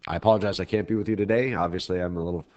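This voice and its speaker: A man's voice: sits low, steady tone, rapid-fire, a little mellow, lo-fi sound. A man with a stuffy head cold giving a recorded math lecture in a casual, meandering way with frequent restarts. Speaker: teacher. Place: at home, recording remotely.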